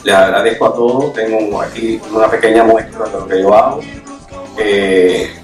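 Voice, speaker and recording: Older Cuban man, humble and halting public speaker, low-pitched, recorded from afar in an echoey hall with music. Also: steady tone. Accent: Cuban Spanish. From Cuba. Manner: humble, hesitant, many fillers. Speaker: painter speaking at an event. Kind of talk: public talk with hesitations. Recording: echoey room from far off, music behind.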